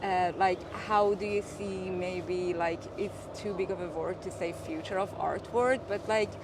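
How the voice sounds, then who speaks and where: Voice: mid-range voice, fairly even intonation, unhurried, noisy background. An interviewer asking long, hesitant questions outdoors, full of fillers and restarts. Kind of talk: casual interview. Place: outdoors at an art exhibition.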